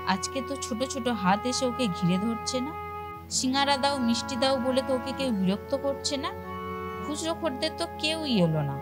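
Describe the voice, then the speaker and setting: A woman narrating a cartoon story in a scripted storytelling style. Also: a little high. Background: faint music.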